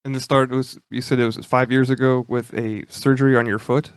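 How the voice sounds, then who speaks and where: A podcast host asking long, hesitant questions full of fillers. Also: male voice, medium pitch, little pitch movement, brisk, smooth, dry recording. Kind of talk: interview questions.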